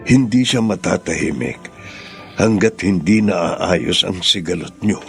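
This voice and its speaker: Casting: deep, gravelly, slow remorseful father for a radio drama, soft music under. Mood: gentle. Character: remorseful gentle father of grown son.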